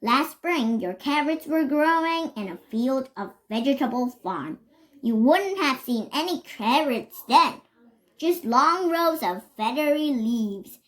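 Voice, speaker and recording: A child reading a children's picture book aloud, carefully and a little haltingly, stressing words as they go. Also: high voice, animated, a bit bright, rough recording. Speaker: reader.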